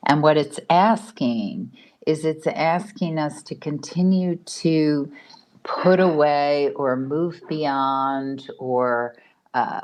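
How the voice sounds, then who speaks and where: Very low-voiced, slow-speaking woman astrologer. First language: not Spanish (lives in Mexico). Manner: unhurried and rambling, with restarts and soft laughs. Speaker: astrologer giving a weekly forecast. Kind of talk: casual spoken forecast.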